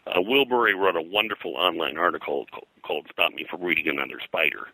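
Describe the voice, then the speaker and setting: Low, bright-voiced American man, rambling storyteller. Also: fast, no echo, poor audio. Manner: rambling, digressive, detail-heavy. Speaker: interview guest, pop culture historian and publisher. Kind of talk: conversational interview, telling detailed anecdotes.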